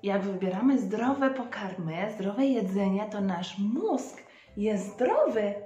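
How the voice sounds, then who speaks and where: A woman's voice: medium pitch, animated, slow pace, dark tone, muffled recording. Woman teaching small children, very echoey room. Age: young adult. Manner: simple, explanatory, prompting with questions. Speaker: presenter of a children's Bible lesson. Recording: very echoey room, faint background music.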